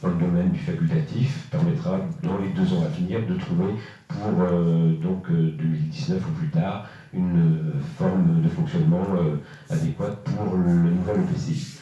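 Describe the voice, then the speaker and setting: A man presenting formally at a local council meeting, explaining in a halting, hesitant way with frequent filled pauses. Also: deep voice, fairly even intonation, dark tone. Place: reverberant indoor meeting room. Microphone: distant microphone. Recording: echoey, muffled room, distant mic.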